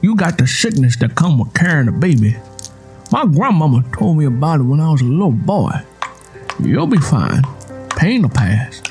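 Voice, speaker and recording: A man voicing a rough, domineering young country husband in an audiobook, with a folksy, badgering way of talking. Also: on the high side, big pitch swings, normal speed, a little mellow, soft background music. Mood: matter-of-fact. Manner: folksy dialect, badgering questions.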